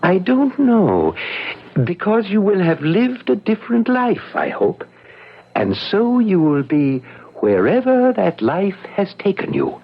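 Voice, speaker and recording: A mysterious, patient guardian in an old radio play, deliberate and gently ominous. His voice is very high, creaky and wildly swooping, on a dull vintage recording. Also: sing-song, heavily acted. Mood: mysterious, calm. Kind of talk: old-time radio drama acting.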